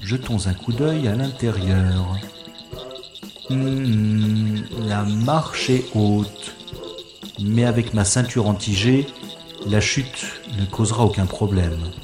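Man acting a slow, deep-voiced wry infiltrator. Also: roomy sound, music in the background. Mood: curious, wary. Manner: deliberate, dramatic, thinking aloud. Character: cautious, wry male infiltrator narrating his secret mission. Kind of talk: dramatized first-person monologue, audio science-fiction adventure.

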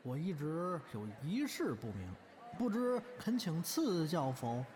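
An actor playing a playful young crosstalk comedian in an audio drama, teasing, exclamatory and mock-earnest. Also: male voice, fairly high pitch, very animated, a little slow, a little mellow, a little hiss or hum. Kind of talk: audio drama acting with stage patter.

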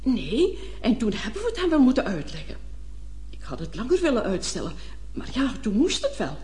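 An elderly lady in a radio play, cordial and eager to host. Her voice is high and soft-edged, with a swooping, animated melody. She chats on in a genteel, gushing way. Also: moderate pace. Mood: wistful, confiding. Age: older. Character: cordial, chatty old lady, eager hostess mother. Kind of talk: Dutch-language radio play acting.

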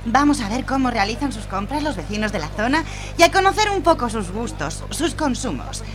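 A woman's voice: on the high side, sing-song, a little fast. A woman playing a chirpy television reporter at a local market in an audio drama, with music under her. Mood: upbeat, professional.

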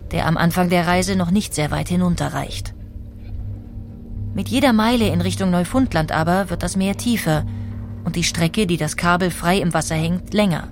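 A woman reading a scripted documentary narration in a clear, explanatory way. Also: fairly low pitch, a little hiss or hum.

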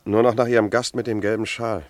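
A man plays a police sergeant in a radio crime play. He has a low voice and rattles through short, official questions at a quick pace, businesslike and probing. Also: little pitch movement, loud music behind the voice, natural voice. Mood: firm, official. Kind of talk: German radio crime drama acting.

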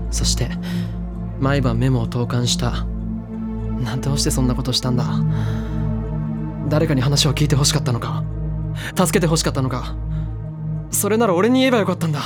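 A man's voice: a little high, brisk, long pauses, loud music behind the voice, lightly acted. A man playing a confident, pushy younger teenage boy with casual slangy speech in a Japanese anime audio drama. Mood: insistent.

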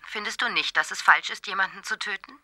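A woman's voice: medium pitch, fast talker, bright, forceful tone. An actress playing a stern, insistent woman moralizing at someone in a radio drama. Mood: accusing. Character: stern, accusing female caller to radio show.

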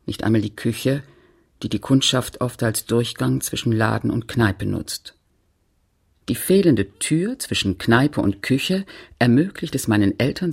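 A woman playing a sober narrator recalling her childhood home in a radio play, measured and factual, with a husky, creaky voice. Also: extremely low pitch, a little mellow, heavily acted. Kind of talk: literary radio play narration in German and French.

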